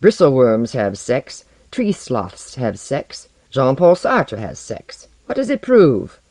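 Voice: mid-range voice, wildly swooping pitch, measured pace, mellow, dry recording, exaggerated voice. A reader voicing a mild but sour, short-tempered mentor with a dry, matter-of-fact manner. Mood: morose, irritable.

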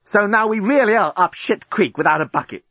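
Sarcastic storybook heroine, played by a male reader: very high, husky, swooping, scolding and exasperated. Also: animated, fairly quick, fairly bright tone, no echo, lo-fi sound, full character voice. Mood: angry, alarmed. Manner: shrill, scolding, emphatic. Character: exasperated, sarcastic young woman, quick to yell. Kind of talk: storybook audiobook character voice.

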